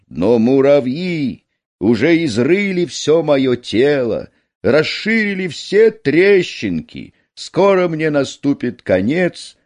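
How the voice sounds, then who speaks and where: A man's voice: medium pitch, darkish tone, pitched above the performer's own voice. A man voicing a crumbling, helpless mountain in a storybook tale, lamenting in a strained manner.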